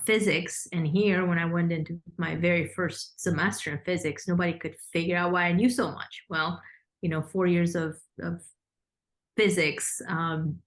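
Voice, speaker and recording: A woman in her thirties from Romania, a financial consultant speaking as a guest in an interview, articulate but with many fillers and restarts. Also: lowish voice. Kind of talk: podcast interview.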